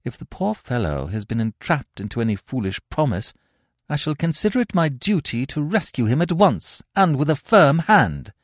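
A man voicing a sharp-tongued young country girl who speaks with falsely sweet, barbed politeness. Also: fairly high pitch, very animated, moderate pace, dry recording, clean studio sound, voice pushed up. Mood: indignant.

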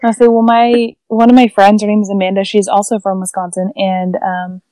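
A woman's voice: medium pitch, level tone, a little fast, warm tone, no echo. A woman from Northern California hosting a casual interview podcast, bubbly and full of "like"s. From Northern California / Northern Nevada. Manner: bubbly, says "like" a lot. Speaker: podcast host.

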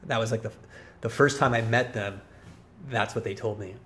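A man's voice: on the low side, steady tone, normal speed, a bit bright, slight background noise. A man of about forty hosting a podcast in a rambling, casual solo monologue, with a wry, self-deprecating, digressive manner. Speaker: podcast host.

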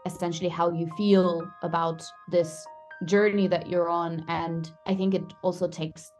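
A woman speaking gently and appreciatively in a podcast conversation. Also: on the low side, little pitch movement, unhurried, faint music behind.

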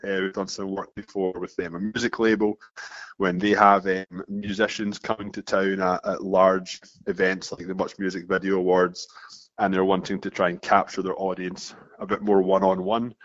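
Scottish founder in his thirties, low-pitched, restarts phrases in a steady flow; dull, dry sound. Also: moderate pace, no echo. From Scotland. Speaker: founder of a marketing agency, guest. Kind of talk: casual interview talk. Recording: dull muffled dry recording.

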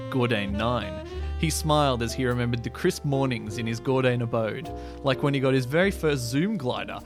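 Male podcast host, mid pitch, self-amused amateur storyteller with a mock-dramatic streak, music bed underneath. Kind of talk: reading his own sci-fi story, casual chat.

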